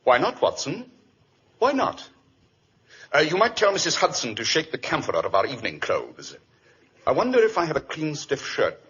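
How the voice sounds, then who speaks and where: A man with a British accent playing a keen, imperious, sardonic Victorian detective in an old radio drama, crisp and dryly condescending. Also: fairly high pitch, wildly swooping pitch, normal speed, long pauses. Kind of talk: 1940s radio acting. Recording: muffled, noisy old radio recording.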